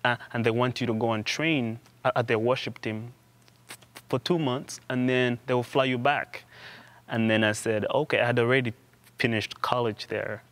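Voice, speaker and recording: A young man from Uganda, a student guest in an interview, telling his story in a halting way with frequent restarts and repeated words. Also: medium pitch, fairly even intonation, a bit bright. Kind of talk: conversational interview.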